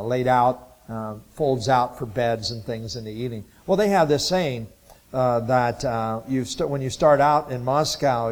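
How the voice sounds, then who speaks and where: A man in his sixties from Ohio, a Bible college missions teacher, giving an informal testimony talk to a church group in a halting, rambling way with many filler sounds. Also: medium pitch, darkish tone. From Ohio, USA. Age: 60s.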